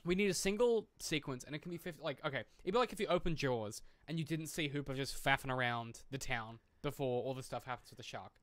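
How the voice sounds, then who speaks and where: A man's voice: medium pitch, fast talker, hardly any pauses, fairly bright tone, dry recording. A man co-hosting a podcast, talking through a film in an informal, explanatory way and swearing freely. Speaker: podcast co-host. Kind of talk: casual film discussion.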